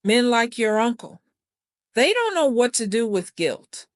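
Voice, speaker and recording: A woman's voice: on the high side, big pitch swings, measured pace, no echo. Woman playing a folksy, plainspoken aunt, dry sound. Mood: frank, knowing. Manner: soothing, frank, folksy. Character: knowing, folksy, plainspoken older aunt herbalist. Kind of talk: dramatic audiobook dialogue.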